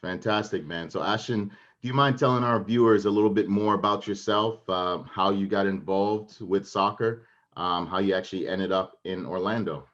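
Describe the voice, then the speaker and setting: Low-voiced man hosting a podcast. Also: normal speed, hardly any pauses, a bit bright, highs cut off. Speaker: podcast host.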